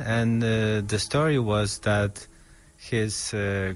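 Male author interviewee, very deep register, unhurried and halting delivery. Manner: halting, thoughtful, searching for words. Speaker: author interviewed about his book. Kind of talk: interview answers in English as a second language.

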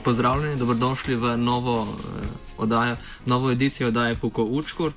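A man's voice: medium pitch, fairly even intonation, quick pace, music in the background. Hesitant Slovenian man hosting radio. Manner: hesitant, many fillers, switches between Slovenian and English. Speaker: radio show host. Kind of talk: casual community radio talk.

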